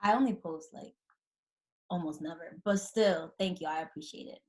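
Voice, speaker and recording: A woman's voice: fairly low pitch, moderate pace. A young woman from the Boston area, a college senior guest, talking shyly and casually on a video call, trailing off. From Boston area, USA. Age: young adult. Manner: shy, trailing off, soft laughs.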